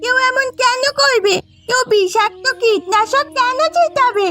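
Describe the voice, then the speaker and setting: A woman's voice: extremely high pitch, a little slow, pushed and bright, soft background music, full character voice. A woman voicing a concerned little village girl in a cartoon story. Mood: worried, consoling. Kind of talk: cartoon voice acting.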